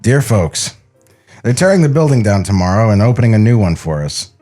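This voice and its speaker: A man's voice: fairly low pitch, very animated, fairly quick, mellow, soft background music. A man playing a sentimental cartoon animator reading his letter home as a film script voiceover. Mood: wistful, sincere.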